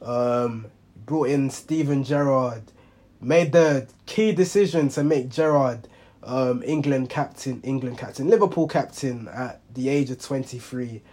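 A young man with a hoarse voice, hosting a football reaction show in a rambling, casual monologue full of ums and self-corrections. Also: medium pitch, measured pace, a little mellow. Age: young adult. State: hoarse, under the weather. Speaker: football reaction channel host.

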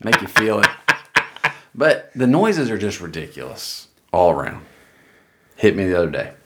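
A man's voice: low voice, big pitch swings, moderate pace, sharp tone. A man co-hosting a podcast in casual chat, blunt and joking, often cutting in on his co-host. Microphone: studio mic close up.

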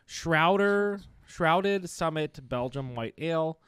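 A man's voice: on the high side, animated, takes it slowly, fairly bright tone, clear, resonant tone. A young man, the producer, talks in an explanatory way and reads out details. His voice sits close to the mic with no room echo around it. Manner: explanatory, reads facts aloud. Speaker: podcast producer. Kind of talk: casual podcast chat. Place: small podcast studio. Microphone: studio microphone.

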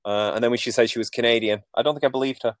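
A man's voice: mid-range voice, steady tone, racing, no echo, studio quality. A man in his forties with a Manchester accent, a podcast guest telling stories in a jokey, self-deprecating way. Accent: Manchester English. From England. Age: 40s. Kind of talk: casual interview chat.

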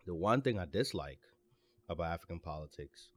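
Very deep-voiced earnest young man. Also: lively intonation, a little fast. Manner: earnest, stumbling restarts when worked up. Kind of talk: casual opinion chat.

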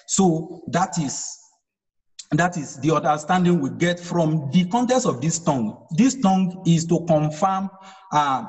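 Husky Yoruba-speaking man teaching scripture. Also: a little high, darkish tone, long reverb tail, low-quality recording. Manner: explains verse by verse, asks rhetorical questions. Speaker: Bible teacher. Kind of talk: online Bible study teaching.

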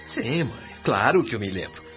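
Animated, gently teasing Brazilian son played by an actor. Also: male voice, mid-range voice, normal speed, close to an everyday voice. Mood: reassuring. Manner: good-humoured, gently ironic. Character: cheerful, teasing adult son, kindly spirit guide. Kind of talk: radio drama acting. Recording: muffled radio drama with music.

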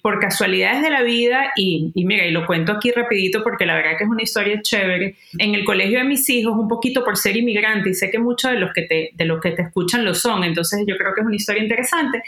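A woman talks as an interview guest. She sounds warm and upbeat, with a mid-pitched voice. The sound is echoey and roomy. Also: fast, few pauses. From Venezuela. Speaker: guest, entrepreneur. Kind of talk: interview conversation.

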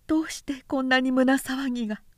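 A woman's voice: sits high, steady tone, a little fast, dry recording, studio quality, voice pushed up, close to an everyday voice. A woman voicing a grieving, resolute noblewoman in an audiobook, with plaintive, ladylike, old-fashioned speech. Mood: anxious. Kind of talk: dramatic literary audiobook reading.

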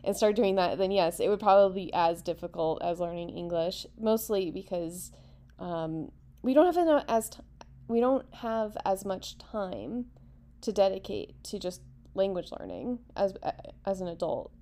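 A woman co-hosting a casual discussion, explaining her views in a relaxed, steady way with a few fillers. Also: medium pitch, moderate pace. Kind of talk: casual chat.

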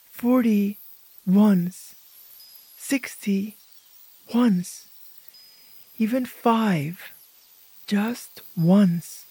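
A woman narrating a guided imagery meditation as host, speaking gently in short, hushed phrases with pauses. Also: medium pitch, husky, no echo, rough recording.

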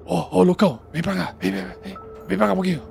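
A fussy germaphobe recluse in a tabletop game, with a high, creaky voice that leaps around. He scolds and gives bossy, drawn-out orders with theatrical exclamations, over faint music. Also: animated, darkish tone, full character voice. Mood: impatient. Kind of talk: improvised tabletop roleplay acting. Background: background music.

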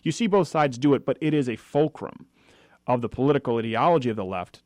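Fast-talking man hosting talk radio. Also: medium pitch, animated, a little mellow, no echo. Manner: fast, argumentative, with frequent asides. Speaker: radio talk show host. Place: radio studio.

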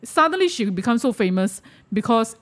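A woman with a Malaysian accent in casual discussion, speaking haltingly and often checking in with the listener. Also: a little high, very animated. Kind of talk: casual discussion of social issues.

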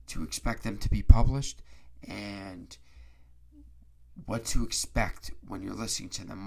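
A man hosting a casual solo sports podcast, stuffy-nosed and hoarse from a cold, rambling and restarting his sentences. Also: lowish voice, darkish tone. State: congested, stuffy nose; hoarse, raspy throat.

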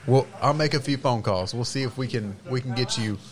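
A man's voice: mid-range voice, fairly quick. Teasing, easygoing man on radio. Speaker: radio show co-host. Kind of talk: casual banter.

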